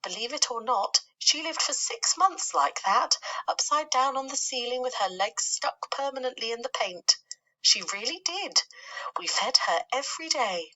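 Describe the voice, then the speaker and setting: Woman voicing a high-pitched, theatrical lady spider. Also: lively intonation, normal speed, bright, forceful tone, full character voice, rough recording. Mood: dramatic lament. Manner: gushing, self-correcting, theatrical. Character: female spider, dramatic, doleful, prone to lamenting.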